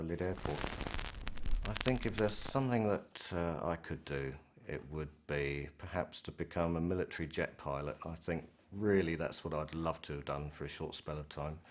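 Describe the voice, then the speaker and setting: A middle-aged British man reflecting on his career in an interview, speaking in an articulate, measured way with pauses mid-phrase. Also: deep voice. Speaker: senior air traffic control manager.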